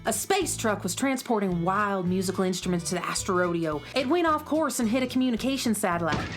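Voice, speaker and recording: A woman's voice: mid-range voice, lively intonation, fairly quick, music in the background, natural voice. A woman playing a breezy, kindly, take-charge space cowgirl with a folksy cowboy drawl in a children's radio serial.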